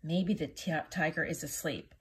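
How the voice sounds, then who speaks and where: Female storybook reader, teacher type: lowish, husky, expressive delivery for small children. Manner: expressive, drawn-out sound words. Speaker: teacher reading a picture book aloud. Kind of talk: animated children's storybook reading.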